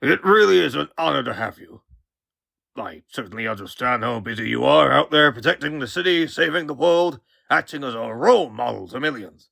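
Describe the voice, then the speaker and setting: A man's voice: mid-range voice, lively intonation, brisk, creaky, bright, forceful tone, no room sound, heavily acted. A man voicing a pompous older newspaperman hosting a podcast in a comic book dub, bombastic and theatrical. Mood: smooth showmanship. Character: pompous, blustering older male newspaperman turned podcast host.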